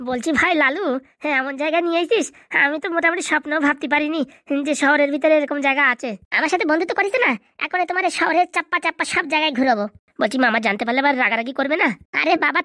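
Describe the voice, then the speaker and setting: A man voicing an excitable, cheeky young male cartoon buddy, with slangy bantering delivery and a pitched-up effect. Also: racing, no room sound, clean studio sound. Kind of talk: comedy cartoon dubbing in colloquial rural dialect.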